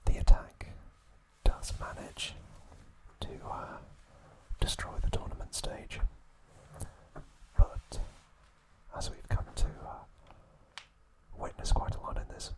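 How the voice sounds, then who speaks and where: Male ASMR host, breathy whisper throughout, slow and hesitant trivia narration. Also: poor audio. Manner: soft unvoiced whisper with frequent "uh" pauses.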